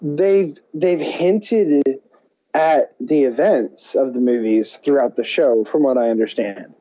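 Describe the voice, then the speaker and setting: A man chatting casually as a podcast co-host, with a mellow, mid-pitched voice. He talks haltingly and circles back to restart his thoughts, on a slightly muffled recording. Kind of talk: casual film chat.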